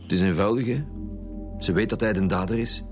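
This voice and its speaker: Actor as stern Flemish police commissioner, music behind. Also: male voice, lowish voice, brisk, lightly acted. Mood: matter-of-fact. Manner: blunt, insistent. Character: stern, pressing male police commissioner leading interrogations. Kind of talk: radio police drama acting.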